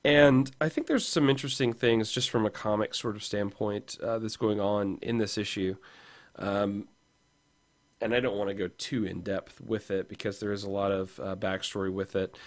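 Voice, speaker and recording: A man with a fairly low voice, slightly hoarse and gruff from losing it, talks casually about comics as a podcast co-host. He rambles through fillers and restarts at an easy pace. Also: steady tone, normal speed.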